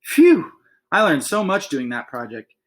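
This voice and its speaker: A man reading a children's story aloud in an expressive, conversational way, with casual asides to listeners. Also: on the high side, unhurried. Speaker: read-aloud reader.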